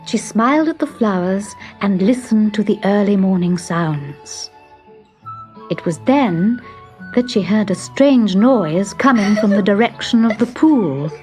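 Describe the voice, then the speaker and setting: Female children's storyteller: slow, measured and expressive, highly varied intonation. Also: soft background music. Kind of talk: scripted children's story narration.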